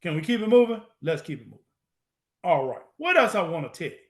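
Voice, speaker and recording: Male lecturer with a high voice, preachy and emphatic, hammers points by repetition and rhetorical questions, casual grammar. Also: sing-song, quick pace. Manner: street-style informal grammar. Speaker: lecturer on Moorish American history.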